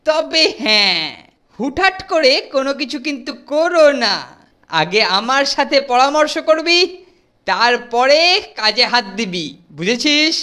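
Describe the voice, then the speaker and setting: A boastful cartoon teenage boy, played by a woman lords it over his juniors. His high voice swoops up and down as he lectures in mock-grand tones. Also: sharp tone, smooth, full character voice. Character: boastful bossy teenage boy, self-important leader. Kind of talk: cartoon dub acting.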